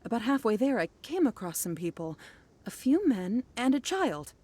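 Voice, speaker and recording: Polite young scholar. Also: female voice, a little high, sing-song, normal speed, dark tone. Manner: courteous and apologetic. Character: polite, flustered female scholar. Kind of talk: video game voice acting.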